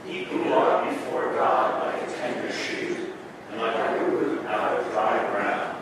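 A man reading the liturgy aloud in a slow, measured way in a church. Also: lowish voice, steady tone, a crowd in the background. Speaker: liturgy reader. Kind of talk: responsive reading. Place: church sanctuary. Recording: distant echoey church, loud noise.

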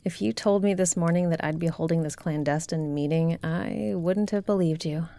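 A woman's voice: lowish voice, normal speed, vocal fry, warm tone, no room sound. A woman playing a grave, dignified rebel noblewoman in a fantasy audio roleplay, speaking formally and solemnly. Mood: solemn resolve.

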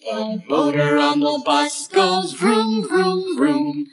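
A woman's voice: high-pitched, lively intonation, slow, gravelly, recorded from a distance. A woman singing a children's nursery song in a simple sing-along way, with the words repeated over and over. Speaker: singer. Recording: voice set back from the mic over loud backing music.

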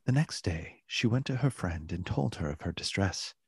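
A narrator with a husky voice, reading a literary tale as scripted narration in a radio play. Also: sits low, expressive, normal speed, no echo, exaggerated voice. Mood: measured.

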